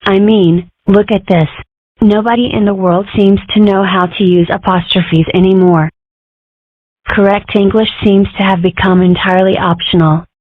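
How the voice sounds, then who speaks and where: A woman's voice: mid-range voice, steady tone, normal speed, no room sound, lightly acted. A woman voicing an exasperated, pedantic lawyer mother in a cartoon comic dub. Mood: indignant. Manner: pedantic, lamenting. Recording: tinny, phone-like audio.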